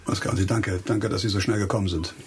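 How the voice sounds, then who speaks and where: An actor playing a grim, hard-bitten police investigator in a radio crime drama, speaking insistently and probingly, with music behind him. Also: male voice, deep voice, fairly even intonation, fast talker, background noise.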